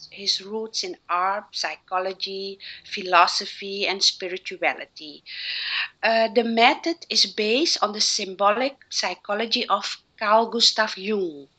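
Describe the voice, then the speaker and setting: A middle-aged woman from Suriname whose first language is Dutch, speaking as a guest on personal development and pausing often to find her words. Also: fairly high pitch, bright, forceful tone, poor audio. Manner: earnest, with frequent fillers and restarts. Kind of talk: interview answers.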